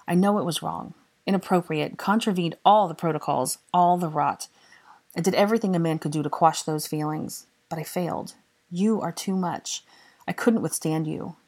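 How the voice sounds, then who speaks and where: Audiobook role: woman as exasperated, lovesick young man, husky, impassioned, sardonic, stumbling over his declarations. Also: fairly low pitch, a little mellow. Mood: fervent confession. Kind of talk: dramatic audiobook character dialogue.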